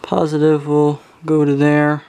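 A man's voice: a little high, monotone, a little slow, mellow, clear, resonant tone. A young man giving casual hands-on commentary as a hobbyist repairer, muttering to himself with exclamations and casual swearing. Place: indoors at a workbench.

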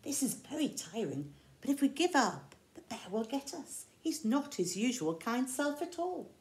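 A woman voicing weary, worried animal friends from a picture book in a hushed, conspiring way. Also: high-pitched, big pitch swings, normal speed, pitched above the performer's own voice.

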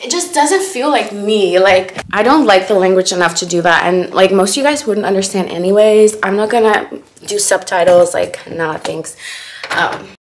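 A woman's voice: medium pitch, moderate pace, slight background noise. A young woman in her twenties whose first language is Dutch, chatting candidly in a casual diary vlog in her apartment, filmed by a camera a few feet away. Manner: chatty, candid, lots of "like" fillers. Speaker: vlogger. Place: apartment living room and kitchen. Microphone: camera on a tripod at a distance. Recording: roomy echo from camera distance.

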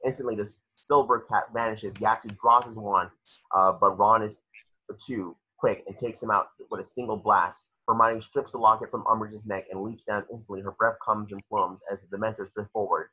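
A man with a husky voice narrating screenplay stage directions at a table read over a video call. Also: lowish voice, fairly even intonation, moderate pace, pushed and bright, lo-fi sound.

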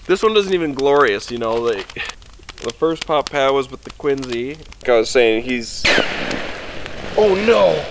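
A man's voice: mid-range voice, animated, a little slow, vocal fry, background noise. A young man rambling casually to camera at a winter campsite, talking easily with fillers. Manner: chatty and easygoing, rambling with fillers. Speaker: outdoor vlogger. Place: snowy forest campsite at night, outdoors by a fire. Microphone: camera on a tripod a few meters away.

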